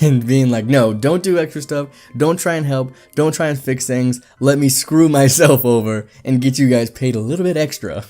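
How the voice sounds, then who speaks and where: Animated man reading stories. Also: medium pitch. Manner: lively, animated reading with laughs and asides. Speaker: Reddit story narrator. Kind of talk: reading stories aloud with commentary.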